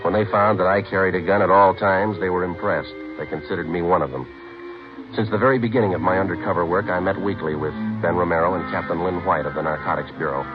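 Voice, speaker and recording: An actor playing a terse, hard-boiled undercover cop posing as an impatient gangster in a 1950s radio crime drama. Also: male voice, sits low, lightly acted. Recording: muffled old radio recording with music.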